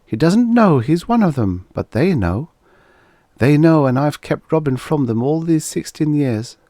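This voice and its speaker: Man voicing an anguished mother, pitched up high. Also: big pitch swings, unhurried, mellow, no echo, clean studio sound. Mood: dawning horror. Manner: anguished, urgent exclamations. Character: distraught mother near forty, anguished and stern.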